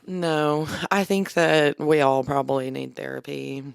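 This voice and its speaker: A woman with a deep voice co-hosting a podcast, chatting in a laid-back way and chuckling as she tells stories. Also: a little slow, vocal fry, clear, resonant tone, no echo, clean studio sound. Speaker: podcast co-host. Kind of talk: casual chat. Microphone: studio podcast microphone.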